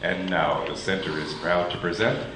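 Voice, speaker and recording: A man's voice: low-pitched, steady tone, moderate pace, noisy background. A man making a plain, informative announcement before a theater performance. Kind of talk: pre-show announcement. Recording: echoey theater with faint ambience.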